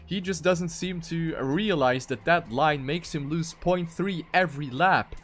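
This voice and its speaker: A man's voice: fairly high pitch, a little slow, a bit bright. A man doing live esports commentary on a racing game, with dramatic, emphatic phrasing.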